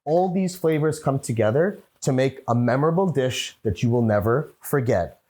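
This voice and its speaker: A man's voice: medium pitch, animated, moderate pace, mellow. A man hosting a cooking show, playful and instructive as he talks viewers through a recipe. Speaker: chef and host. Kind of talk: upbeat cooking show presenting.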